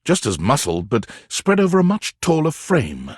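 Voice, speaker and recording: An actor narrating a video game in the second person, in a wry storytelling manner. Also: male voice, a little high, huge pitch swings, normal speed, breathy edge, no room sound, studio quality, heavily acted. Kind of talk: scripted narration.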